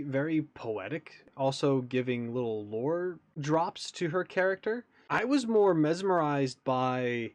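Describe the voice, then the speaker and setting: Animated man giving casual reaction commentary. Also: medium pitch. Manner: musing, informal. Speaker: reaction video host.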